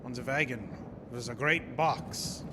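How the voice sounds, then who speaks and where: Actor as solemn old vampire hunter in reverb. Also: male voice, mid-range voice, natural voice. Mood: grave narration. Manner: solemn, halting foreign phrasing. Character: old Dutch professor and vampire hunter, grave, commanding. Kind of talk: live radio horror drama acting. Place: small theater stage. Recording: noisy live stage broadcast, long hall reverb.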